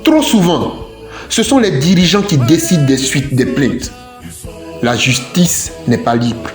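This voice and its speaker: A man reads out an opinion piece at a slow, deliberate pace. His delivery is emphatic and declarative, built on repeated phrases. The voice sounds roomy and echoing, with music behind it. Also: on the high side, lively intonation. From Côte d'Ivoire. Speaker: civic commentator. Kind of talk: scripted opinion commentary.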